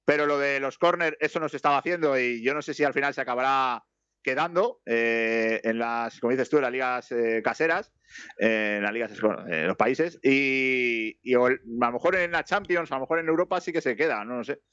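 A man's voice: mid-range voice, brisk, smooth, dry recording, dull audio. A man with a Spanish accent giving casual live football commentary, rambling along and lifting his voice on attacks. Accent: Spanish from Spain. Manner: rambling, lifts to excited calls on attacks.

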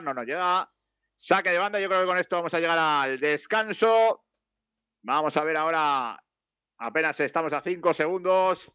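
A chatty man calls a football match live, rambling between plays and laughing easily. His voice sits a little high for a man. The sound is dry and slightly dull, with no room around it. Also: pushed and bright, clear, resonant tone, dry recording. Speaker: football commentator. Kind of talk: casual live match commentary.

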